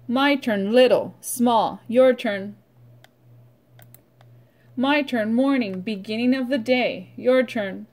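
A middle-aged woman, a preschool teacher, leading a read-aloud vocabulary lesson in an instructional way, pausing for children to repeat. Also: on the high side, a little mellow. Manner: instructional, prompting with pauses for repetition.

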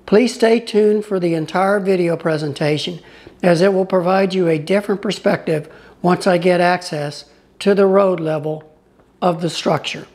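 A travel vlogger narrating a scripted voice-over in an informative, even-paced way. The voice sits at a middle pitch and speaks straight to the viewers as folks. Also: normal speed. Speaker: travel vlogger narrating his own tour.

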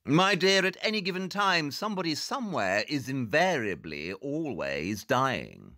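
An actor playing a brisk, aloof detective with a British accent and a formal, pedantic manner in an audio drama. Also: male voice, mid-range voice, sing-song, bright, forceful tone, no room sound, clean studio sound, full character voice. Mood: dry, brisk.

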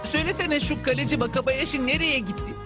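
Someone voicing an eager high-pitched young boy. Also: fast, lightly acted. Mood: eager, earnest. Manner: excitable, earnest. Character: eager, fearless young boy soccer player, small newcomer. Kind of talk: animated cartoon dub acting. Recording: loud music behind the voice. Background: loud background music.

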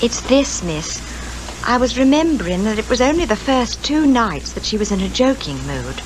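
Deferential, sympathetic British chambermaid, animated intonation, 1950s radio thriller, clear background noise. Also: female voice, fairly high pitch, moderate pace. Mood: sympathetic, respectful. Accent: British English. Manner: deferential, homely phrasing. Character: kindly, sympathetic female hotel maid, chatty and respectful. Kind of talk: 1950s radio drama acting. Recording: noisy 1950s radio recording.

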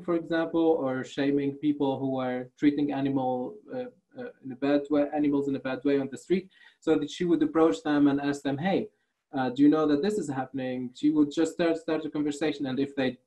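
A man's voice: on the high side, dark tone, poor audio. Hesitant man, non-native English speaker. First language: not English. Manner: hesitant, many fillers and restarts. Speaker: workshop speaker. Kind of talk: online workshop talk over slides. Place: small room indoors.

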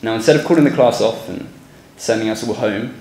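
A man's voice: fairly low pitch, darkish tone. Young man speaking sincerely from a podium. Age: young adult. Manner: measured, sincere, some hesitant restarts. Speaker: former student speaker. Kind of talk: prepared speech at a podium. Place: school hall stage. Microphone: podium microphone. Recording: echoey hall, faint background noise.

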